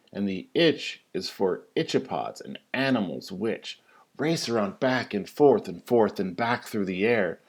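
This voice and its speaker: Animated, unhurried man reading a children's story. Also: lowish voice, husky. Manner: theatrical, singsong rhyming delivery with drawn-out emphasis. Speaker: teacher reading a story aloud. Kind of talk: expressive children's picture-book read-aloud.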